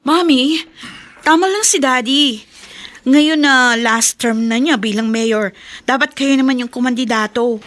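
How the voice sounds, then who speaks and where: High-voiced coaxing young daughter. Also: female voice, a little slow, a bit bright. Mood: coaxing, insistent. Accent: Filipino. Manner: coaxing, insistent. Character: pushy, confident young daughter of a mayor. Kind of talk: Filipino radio drama acting.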